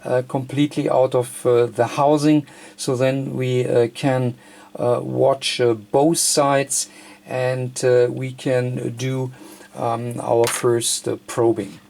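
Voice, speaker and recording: A man presenting an unscripted hands-on electronics tutorial, thinking aloud in a halting, hesitant way. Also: mid-range voice, little pitch movement, vocal fry, darkish tone, a little hiss or hum. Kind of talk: unscripted hands-on technical demonstration.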